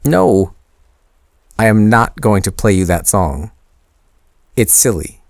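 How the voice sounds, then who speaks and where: A man playing a shy, sentimental young man in an intimate boyfriend audio roleplay, speaking hesitantly and teasingly with a creaky voice. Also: on the low side, animated, slow, no echo, clean studio sound, full character voice. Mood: flustered, teasing. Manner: hesitant, soft-spoken teasing, stammering.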